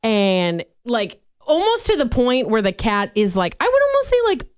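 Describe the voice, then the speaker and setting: A woman's voice: fairly high pitch, wildly swooping pitch, normal speed, smooth, no room sound, clean studio sound. A young woman co-hosting a radio show, chatting casually and gushing with easy laughs. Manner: bubbly, gushing, laughs easily. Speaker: radio co-host. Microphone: studio mic.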